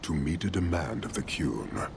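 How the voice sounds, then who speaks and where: An actor playing a stern, disdainful warrior leader of an inhuman fantasy race in a video game, speaking in a measured, solemn way. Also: male voice, very deep voice, animated, background noise, full character voice. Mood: solemn conviction. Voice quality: gravelly. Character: stern imposing male Qunari warrior leader, disdainful and rigid. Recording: game audio with loud background ambience.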